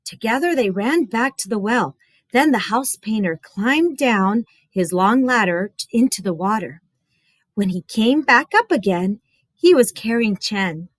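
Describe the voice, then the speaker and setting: A woman reading a story aloud to young children, expressive and dramatic, with instructive explanatory asides. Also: a little high, measured pace, no echo.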